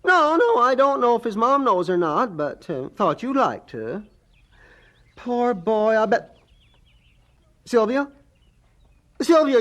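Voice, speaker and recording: Slow, drawling rustic gossip, high swooping voice. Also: male voice, fairly bright tone, dull audio, full character voice. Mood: pitying. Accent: American. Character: folksy, nosy older small-town handyman, excitable gossip. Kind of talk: 1970s radio drama acting.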